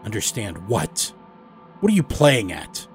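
A man's voice: lowish voice, huge pitch swings, a little slow, faint music behind. A bitter middle-aged male lawyer played by a storyteller speaks with wounded, accusing force. He is emotional and combative in the manner of a horror audiobook, over a musical score.

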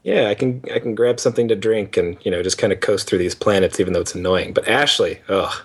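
A man's voice: on the low side, fast, husky. A young man with a Canadian accent co-hosting a casual gaming podcast, laid-back and rambling. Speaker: podcast co-host. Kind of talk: casual chat.

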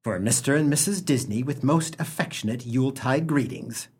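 A man acting an impulsive, wry husband and father in a comic radio play, theatrical and emphatic, with a husky voice. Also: medium pitch, moderate pace, darkish tone, close to an everyday voice. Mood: cheerfully puzzled.

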